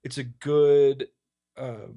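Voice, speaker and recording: A man's voice: mid-range voice, level tone, drawn-out words, mellow. Easygoing male musician guest. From Colorado. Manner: easygoing, hesitant, short answers. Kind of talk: casual interview chat.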